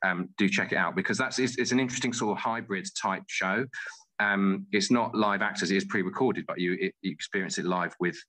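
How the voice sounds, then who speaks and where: A man speaking as an interview guest, in a halting, searching way with self-corrections. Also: sits low, bright, forceful tone. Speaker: guest, theatre company director and playwright. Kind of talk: interview conversation.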